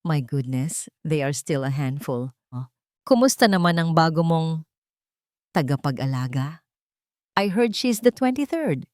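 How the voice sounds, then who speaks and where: A woman's voice: low-pitched, expressive, measured pace, long pauses, darkish tone, dry recording, clean studio sound. A woman with a Filipino accent voicing an elegant, scheming rich woman with condescending false concern in a narrated story. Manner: code-switching, condescending.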